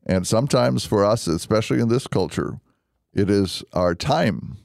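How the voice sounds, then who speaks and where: A man speaking as a deacon and radio host, conversational and earnest, explaining things deliberately. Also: on the low side, lively intonation, vocal fry, a little mellow, dry recording, studio quality. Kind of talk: conversational religious radio hosting.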